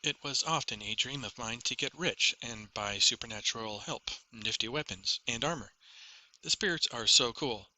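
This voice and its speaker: A man's voice: mid-range voice, moderate pace, sharp tone, no room sound, low-quality recording. A man reading a first-person fantasy story as a scripted audiobook narration.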